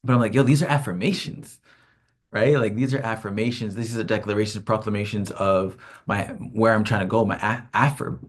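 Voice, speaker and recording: A man's voice: fairly low pitch, fairly even intonation, a little fast, few pauses. A man, a hip hop artist, talking casually to camera in a rambling, slang-filled and self-reflective vlog, often laughing.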